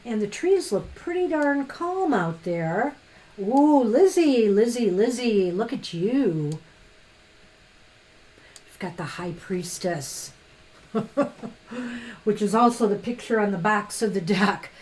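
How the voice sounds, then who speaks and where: A woman co-hosting a live-stream tarot reading, chatty and fast-talking, laughing often. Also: medium pitch, expressive, a little mellow. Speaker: tarot reader co-host.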